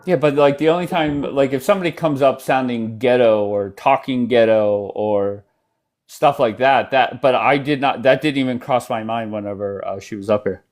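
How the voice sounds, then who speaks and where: A man hosting a casual livestream chat, blunt and quick to cut in on his guests. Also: medium pitch, darkish tone. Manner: blunt, apologetic, talks over guests. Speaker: livestream host. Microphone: headphones in, at home.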